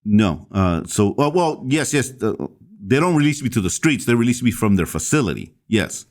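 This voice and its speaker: A man from El Salvador, a pastor, recounting his story as a podcast guest in a halting way, with many fillers and restarts. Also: mid-range voice, sing-song.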